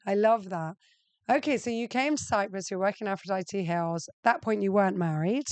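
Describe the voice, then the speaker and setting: A woman's voice: mid-range voice, brisk, a little mellow, dry recording. Enthusiastic woman interview host. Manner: enthusiastic, effusive. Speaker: show host. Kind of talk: casual interview.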